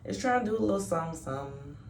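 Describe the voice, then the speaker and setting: A sleepy woman with a deep voice, chatting to her camera at home in a rambling, reflective way. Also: expressive, very fast, slight background noise. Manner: reflective, rambling, soft-spoken. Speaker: vlogger. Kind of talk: casual chat to camera. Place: top-floor apartment at home. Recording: echoey room.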